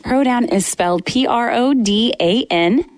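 A woman's voice: fairly high pitch, a little slow, dry recording, clean studio sound. A woman hosting an interview show, giving affirming responses and wrapping up the segment. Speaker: host.

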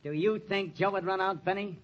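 A man's voice: sits high, steady tone, brisk, slight background noise, lightly acted. A man playing a kindly, worried older man, folksy and hesitant, in an old-time radio drama. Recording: muffled old radio recording.

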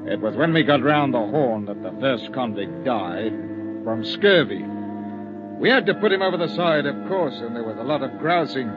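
An actor playing a sea captain who narrates his voyage in a measured, grave way, in an old radio drama. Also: mid-range voice, animated, lightly acted. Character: weary sea captain, middle-aged man, upright. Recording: muffled old radio recording with music.

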